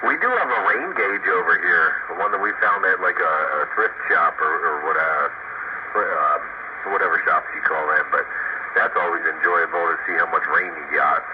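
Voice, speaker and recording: A man's voice: low-pitched, normal speed. A man chatting casually over two-way radio in a hesitant, rambling manner. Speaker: amateur radio operator. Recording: noisy, muffled two-way radio transmission.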